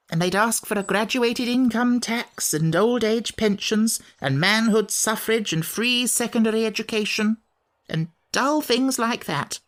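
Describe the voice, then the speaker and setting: A man voicing a cranky, put-upon little furry wish-granting creature from a storybook, with a shrill voice that cracks when worked up. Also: a bit bright, exaggerated voice. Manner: indignant, complaining.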